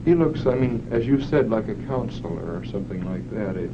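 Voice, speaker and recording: A man's voice: fairly low pitch, lively intonation, a little fast. Hesitant man on an old hissy film track. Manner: hesitant, restarting with "I mean". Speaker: test participant. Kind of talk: unscripted answers in an experiment. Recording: dull, hissy 1940s film soundtrack.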